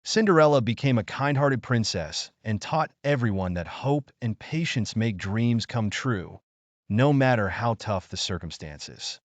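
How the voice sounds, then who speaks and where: A man with a low voice reads a children's bedtime story from a script. His pitch moves widely as he narrates the fairy tale. The recording is close and dry. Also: animated, unhurried, no echo, highs cut off.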